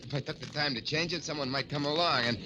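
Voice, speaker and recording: A man's voice: fairly high pitch, quick pace, natural voice. An actor playing a rattled, menacing American killer and thief in an old-time radio drama, talking in agitated tough-guy slang. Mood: rattled confession. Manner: agitated, tough gangster talk. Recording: old radio recording with hiss.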